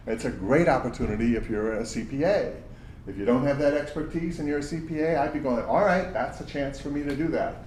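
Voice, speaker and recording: A man's voice: medium pitch, darkish tone. A man running a job search workshop, talking to the group casually with examples from his own past, in a big voice. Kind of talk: workshop talk to job seekers. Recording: roomy audio from far off with faint hum.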